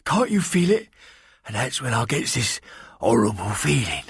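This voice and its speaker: A man voicing a hulking, terrified working-class woman servant who speaks frantically and breathlessly in rough, rustic grammar. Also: medium pitch, big pitch swings, normal speed, no echo, studio quality, heavily acted. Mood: frightened. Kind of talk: audiobook character voice.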